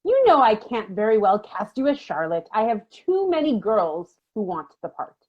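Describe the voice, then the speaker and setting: A woman playing a stern, condescending elementary school teacher with a husky voice and brisk manner, in a dramatic novel reading. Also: medium pitch, sing-song. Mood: patronizing, dismissive. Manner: brisk, disapproving, patronizing. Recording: dull, lo-fi sound.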